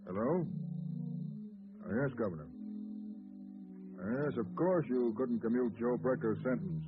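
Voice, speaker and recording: Man doing a stern dignified judge, old radio play, steady and authoritative, tinny sound, music underneath. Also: medium pitch, unhurried, natural voice. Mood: firm. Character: dignified, firm older male judge. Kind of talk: 1940s radio acting.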